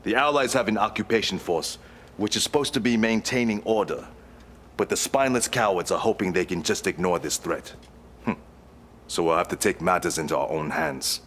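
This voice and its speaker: A stern, proud prince. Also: male voice, deep voice, a little fast, fairly bright tone, slight background noise. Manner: formal, contemptuous briefing. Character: proud young male crown prince, stern and vengeful. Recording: game cutscene audio over music.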